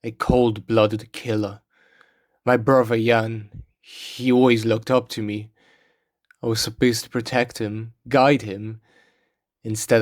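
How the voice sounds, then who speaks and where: A man's voice: lowish voice, natural voice. A man playing a haunted, guilt-ridden sailor and former killer, giving a somber confession in a game cutscene. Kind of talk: scripted game cutscene monologue.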